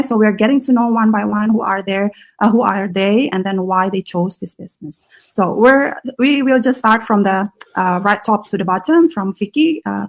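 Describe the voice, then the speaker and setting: Female host, gravelly voice, halting delivery with lots of fillers, video call. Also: medium pitch, poor audio. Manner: halting, many fillers and restarts. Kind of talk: live online panel chat.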